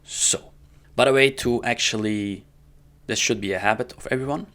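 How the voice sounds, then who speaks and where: A man giving a casual, step-by-step coding tutorial in an informal way, often checking in with the listener, with a gravelly voice. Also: medium pitch, moderate pace, fairly bright tone. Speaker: programming tutorial instructor. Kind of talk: casual screen-recorded coding lesson. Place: indoors at a computer.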